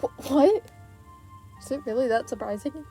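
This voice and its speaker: Woman voicing an earnest, bright-eyed teenage boy hero. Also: high-pitched, moderate pace, soft background music, pitched above the performer's own voice. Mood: excited, flustered. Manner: stammering when flustered.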